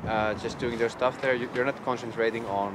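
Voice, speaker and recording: A man coaching a beginner in ski jumping, speaking calmly and plainly with hesitant fillers. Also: medium pitch, brisk. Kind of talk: casual explaining. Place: changing room and outdoors on a ski hill. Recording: roomy, distant, very noisy.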